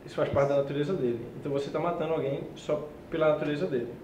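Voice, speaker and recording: A young man in his twenties argues a point on a student panel. He lays out both sides in a measured way. His slightly high voice is heard in a roomy space with a faint rumble. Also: fairly even intonation. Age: 20s. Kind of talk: casual panel debate.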